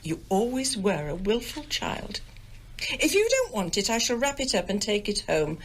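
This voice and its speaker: A woman's voice: mid-range voice, very animated, normal speed, husky. An actress playing a domineering, self-pitying older English village mother in a radio drama, haughty and querulous. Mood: fussy, scolding. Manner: querulous, haughty, melodramatically aggrieved.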